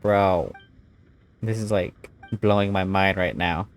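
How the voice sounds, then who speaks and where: A woman's voice: extremely low pitch, slow. A woman giving casual, exclamatory reaction commentary while playing a video game. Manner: expressive, exclamatory. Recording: game music behind the voice.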